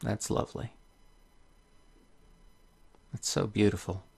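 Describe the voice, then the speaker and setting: A man's voice: on the low side, flat delivery, slow, vocal fry, dark tone, breathy edge. A man hosting a video game playthrough, commenting on the game and reading its story text aloud. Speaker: let's play host.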